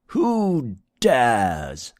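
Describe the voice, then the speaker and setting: A man voicing a bold, robust prince in a storybook reading, enraged, commanding and hoarse. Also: mid-range voice, wildly swooping pitch, drawn-out words, a little mellow, no room sound, clean studio sound, pitched above the performer's own voice, heavily acted. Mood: outraged, commanding. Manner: commanding, indignant.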